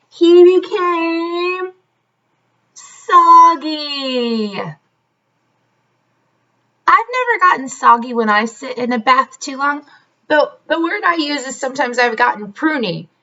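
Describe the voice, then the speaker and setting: High-pitched, very animated woman reading to children. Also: unhurried, highs cut off. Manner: expressive, talks directly to young listeners. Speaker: teacher reading a picture book aloud. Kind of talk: animated children's story read-aloud with chatty asides.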